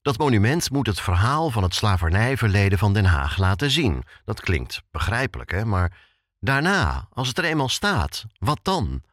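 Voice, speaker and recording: A man's voice: fairly low pitch, big pitch swings, normal speed, fairly bright tone, no room sound, studio quality. A man hosting a podcast, narrating from a script in a conversational way and addressing the listener directly. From Netherlands. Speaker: podcast host.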